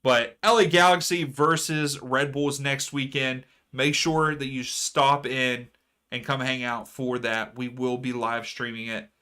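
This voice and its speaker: A man hosting a live soccer watchalong stream, chatting casually with viewers and ranting about fouls. His voice is going hoarse. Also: mid-range voice, fairly even intonation, a little slow, sharp tone. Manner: chatty, reads chat aloud, rants about fouls. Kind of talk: casual live match commentary.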